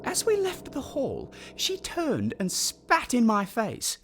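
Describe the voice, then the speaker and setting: A man's voice: very high-pitched, wildly swooping pitch, takes it slowly, noisy background, exaggerated voice. An actor playing a pompous, dryly ironic elderly male composer narrating his memories in a radio play. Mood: wry, reflective.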